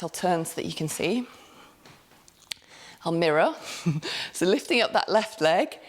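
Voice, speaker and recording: A woman yoga teacher calmly cueing a class step by step, with light laughs and the odd self-correction. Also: lowish voice. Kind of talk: guided yoga class instruction.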